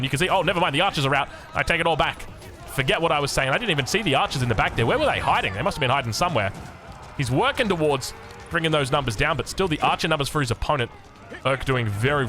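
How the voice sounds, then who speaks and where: A man's voice: medium pitch, pushed and bright. An Australian man giving live strategy game commentary in a chatty, enthusiastic, self-correcting way. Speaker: esports caster of a strategy game.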